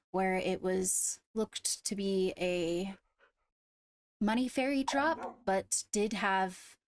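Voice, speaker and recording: Slow-paced woman making formal announcements. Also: medium pitch, no echo. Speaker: roleplay court leader addressing members. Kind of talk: improvised in-character roleplay announcement.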